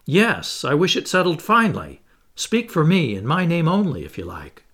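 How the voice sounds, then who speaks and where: A man's voice: a little high, wildly swooping pitch, normal speed, a bit bright, breathy edge, pitched above the performer's own voice, exaggerated voice. A man voicing an impatient Canadian harpooner, furious at being held captive. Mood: insistent.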